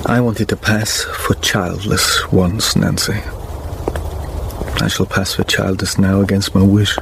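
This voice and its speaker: A resigned gentleman burdened by regret, in a radio drama. His low voice is earnest and halting, and the recording carries clear noise behind him. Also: level tone, exaggerated voice. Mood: regretful, resigned. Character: remorseful gentleman father, married, resigned and earnest.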